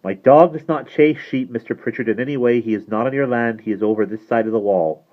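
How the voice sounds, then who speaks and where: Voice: deep voice, moderate pace. A man voicing a proud, teasing teenage boy with an emphatic, clipped manner in an audiobook. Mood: proud, defiant. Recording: muffled, phone-like narrow band.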